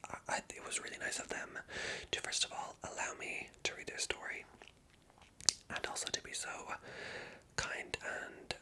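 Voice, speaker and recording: An ASMR creator speaking in a soft whisper, chatting casually and rambling along as they address viewers directly. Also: normal speed, long pauses, rough recording. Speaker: ASMR video creator and storyteller.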